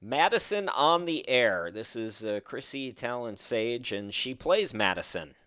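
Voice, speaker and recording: A man's voice: medium pitch, sing-song, a little slow, pushed and bright, clean tone. A man, hosting a casual interview in an informal, enthusiastic way. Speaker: podcast host, interviewer.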